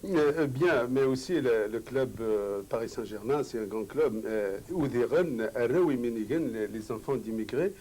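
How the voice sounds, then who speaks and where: A man's voice: medium pitch, measured pace, few pauses, warm tone, a little hiss or hum. A man interviewing a former footballer, asking long, hesitant, rambling questions.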